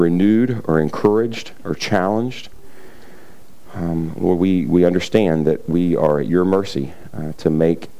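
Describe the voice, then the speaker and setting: Slightly raspy lower-voiced male pastor. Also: warm tone. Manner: conversational, many fillers, clears throat often. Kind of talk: sermon with scripture reading.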